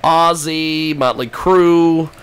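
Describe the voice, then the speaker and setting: A man hosting a casual live radio interview, halting and repeating words as he starts his sentences. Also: fairly high pitch, fairly even intonation, clean tone. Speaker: radio show host.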